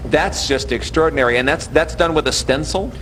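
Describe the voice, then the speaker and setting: A man's voice: fairly high pitch, fairly quick, fairly bright tone, slight background noise. Chatty male TV news reporter. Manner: chatty, enthusiastic interviewing. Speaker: TV field reporter. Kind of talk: live local news segment. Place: home under construction, indoors.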